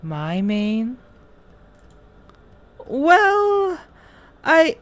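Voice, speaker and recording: Woman playing a flustered, high-pitched maned guy. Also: huge pitch swings, very slow, full character voice. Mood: frightened. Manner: jittery, stammering, easily rattled. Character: vain, flustered male with a mane, reluctant phone worker.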